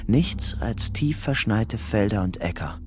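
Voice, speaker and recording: Crime drama narrator: sober, weary young man, slightly low voice over music. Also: animated, measured pace. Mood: sober, brooding. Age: young adult. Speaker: first-person narrator. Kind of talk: scripted radio drama narration.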